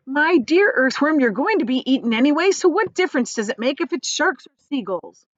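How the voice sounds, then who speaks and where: A woman's voice: sits high, sing-song, fairly quick, no echo, dull audio, pitched above the performer's own voice. A woman voicing a mocking, bossy male centipede in a children's storybook, jeering and brusque. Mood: brusque, scornful. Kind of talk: children's storybook character reading.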